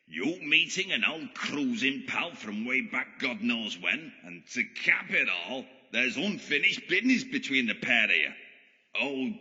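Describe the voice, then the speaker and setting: An actor playing a deranged, gloating Yorkshire villain who believes himself a god, sneering in mock-genteel, foul-mouthed fashion in an audio drama. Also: male voice, sharp tone, exaggerated voice. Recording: muffled, long booming hall reverb.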